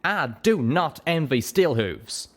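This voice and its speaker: A man's voice: a little high, very animated, takes it slowly, voice pushed up, heavily acted. A man voicing a loyal, plain-spoken male pegasus cowboy in a storybook, with a folksy, drawling manner. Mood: wondering.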